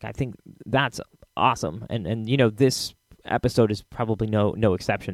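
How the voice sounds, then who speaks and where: A man's voice: on the low side, creaky, darkish tone, no echo. A man with an American accent hosting a podcast in a casual, rambling, reflective way. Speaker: podcast host.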